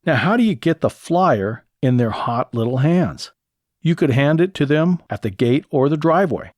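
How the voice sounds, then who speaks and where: A man's voice: fairly high pitch, animated, moderate pace, a little mellow, no room sound, studio quality. An American man presenting a scripted solo podcast, giving practical step-by-step instruction as the host. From lives in California.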